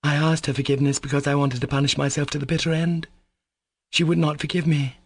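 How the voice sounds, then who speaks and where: A man voicing a proud, guilt-torn young woman in an audiobook, speaking in a raised, halting, fervent voice. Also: fairly high pitch, fairly even intonation, vocal fry, dry recording, voice pushed up. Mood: curt. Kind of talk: audiobook character voice.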